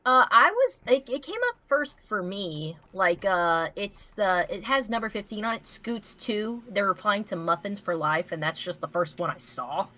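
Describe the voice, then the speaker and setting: A woman's voice: medium pitch, animated, normal speed, hardly any pauses, bright, forceful tone. A woman co-hosting an online show in casual chat. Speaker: co-host reading fan fiction.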